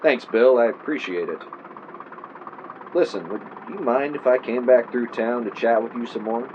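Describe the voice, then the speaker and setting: A man's voice: mid-range voice, lo-fi sound, background noise, lightly acted. An actor playing an earnest, inquisitive podcaster in his thirties in an audio drama, with a theatrical bent. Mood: inquisitive, polite. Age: 30s. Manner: measured, theatrical when narrating. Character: earnest 32-year-old male podcaster, inquisitive, theatrical narrator. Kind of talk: audio drama, moody scripted narration and interviewing.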